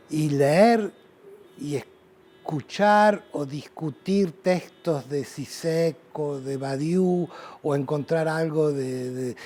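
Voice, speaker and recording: A man's voice: a little high, mellow. A middle-aged man from Uruguay with a Rioplatense Spanish accent, an artist reflecting at length in an interview, with many fillers and restarts. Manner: thoughtful, rambling, frequent fillers.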